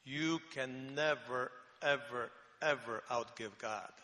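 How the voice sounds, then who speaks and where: A man in his sixties from the Faroe Islands, speaking, relaxed and joking, with many pauses and fillers as he is interviewed at church. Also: medium pitch. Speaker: missionary Bible translation consultant. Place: church sanctuary. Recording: reverberant church hall.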